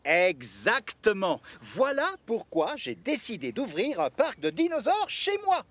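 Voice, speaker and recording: An actor playing a theatrical, enthusiastic gentleman in a children's cartoon, with a grandiose, exclamatory manner. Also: high voice, huge pitch swings, measured pace, heavily acted. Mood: excited, proud. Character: theatrical, enthusiastic gentleman opening dinosaur park.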